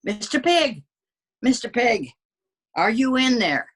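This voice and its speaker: A wily wolf played by a woman tells a storybook tale in his own defence. The delivery is unhurried and very animated, with a husky edge and a folksy, self-justifying manner. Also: on the high side, pitched above the performer's own voice, heavily acted. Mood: calling out. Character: wily male wolf pleading his innocence. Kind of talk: storybook character narration.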